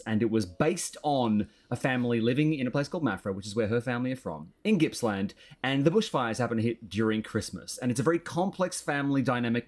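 A man's voice: mid-range voice, a little mellow. An Australian man hosting a casual podcast chat, with wry, joking asides. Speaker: podcast host.

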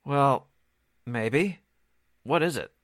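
A man's voice: medium pitch, expressive, drawn-out words, no room sound, clean studio sound. A man playing an astonished suspect in a 1940s-style radio mystery. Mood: incredulous. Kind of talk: 1940s radio drama acting.

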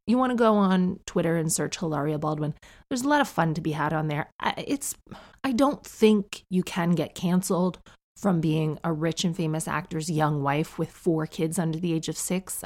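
A woman in her thirties from Canada with a neutral North American accent, hosting a podcast in a chatty, gossipy monologue with quick asides. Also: lowish voice, darkish tone. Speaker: podcast host. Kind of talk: casual monologue.

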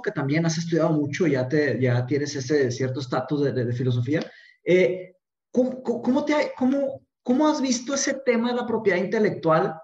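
High-voiced man, echoey lo-fi sound. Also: darkish tone. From Brazil. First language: Portuguese. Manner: hesitant, restarts questions. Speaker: podcast co-host. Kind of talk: casual podcast interview questions. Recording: roomy echo, dull lo-fi sound.

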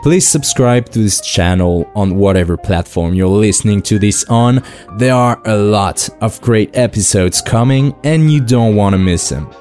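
French-accented male podcast host, 30s, lowish mellow voice, earnest read over soft music. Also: moderate pace. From France. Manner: earnest, enthusiastic. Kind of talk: scripted podcast introduction.

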